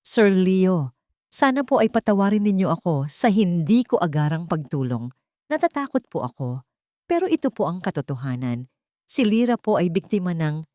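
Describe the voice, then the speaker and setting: A frightened Filipino woman as voiced by a female storyteller pleads earnestly and politely in an audio romance drama. Her pitch moves a lot, and the sound is close and dry. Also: sing-song, normal speed, warm tone, dry recording. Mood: fearful, apologetic. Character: frightened young woman writing a pleading, apologetic letter.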